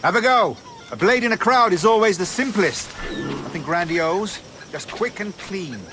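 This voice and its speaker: An actor playing a refined, ruthless British naval captain in a video game, speaking in a clipped, formal, haughty way. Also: male voice, high voice, lively intonation, normal speed, noisy background. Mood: appraising. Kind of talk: video game cutscene acting.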